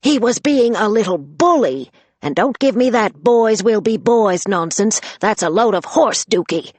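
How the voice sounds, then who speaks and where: A fierce grandmother with a commanding, scolding bark, pitched well above the reader's own voice and delivered loudly, as a woman narrator puts her on. Her voice is husky and sits in a dry, close space. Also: sing-song, normal speed, no room sound. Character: fierce, sharp-tongued grandmother, older woman.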